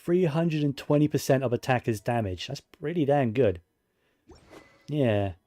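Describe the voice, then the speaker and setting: A man's voice: medium pitch, expressive, a little slow, dark tone, no echo. A man giving casual video game commentary, musing and thinking aloud to himself as he plays.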